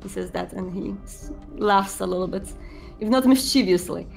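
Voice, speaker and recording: Creaky-voiced woman narrating tabletop roleplay. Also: medium pitch, moderate pace, darkish tone, faint music behind. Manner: hesitant, descriptive, piecing phrases together. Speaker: game player narrating the prince character.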